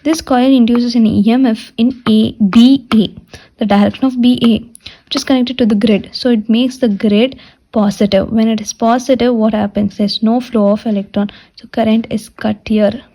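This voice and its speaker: A woman teaches a technical lesson in a somewhat high-pitched voice with a dark, relaxed tone. She goes through the material step by step and deliberately restates each point. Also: rough recording. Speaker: physiotherapy lecturer. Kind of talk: step-by-step technical lecture explaining a diagram.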